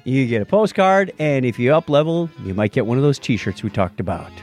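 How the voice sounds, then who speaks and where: A man's voice: mid-range voice, very animated, fairly quick, a little mellow, faint music behind. A man hosting a podcast in a casual, playful, joking style. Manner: jokey, playful. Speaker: podcast host. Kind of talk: casual chat, listener shout-outs.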